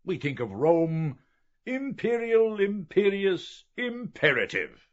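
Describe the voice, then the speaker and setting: A man's voice: high-pitched, very animated, slow pace, voice pushed up, exaggerated voice. A man reading an audiobook, voicing an older learned professor who speaks in sweeping, oratorical rhetoric. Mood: declaiming. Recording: dull lo-fi recording.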